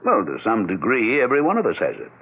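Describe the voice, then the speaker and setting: Didactic doctor, frank and very expressive in pitch, vintage radio mystery with thin phone-like sound. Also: male voice, slightly rough, full character voice. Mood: explanatory, certain. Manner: didactic, deliberate. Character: learned, frank doctor explaining telepathy. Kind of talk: 1970s radio drama acting.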